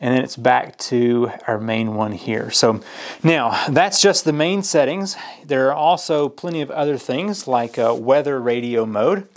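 A man with a mid-pitched voice talks through a product demonstration. He explains each step plainly in a casual, practical way, as a reviewer showing how something works. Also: unhurried. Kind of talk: casual hands-on product walkthrough. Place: indoors at a work table.

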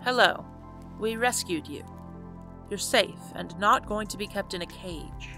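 An actress playing a friendly, practical young adventurer, sincere and reassuring, in an audio drama. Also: female voice, mid-range voice, unhurried, sharp tone, soft background music.

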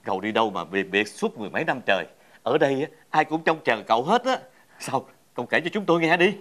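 A man playing an excitable, kindly old village grandfather in a fairy-tale drama, with a southern Vietnamese accent and a theatrical, exclaiming delivery. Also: medium pitch, fast talker. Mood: grave, reflective. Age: older. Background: background music.

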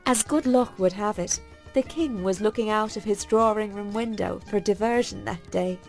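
A woman narrating a bedtime story for children in a folksy, expressive storytelling manner. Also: medium pitch, normal speed, vocal fry, soft background music.

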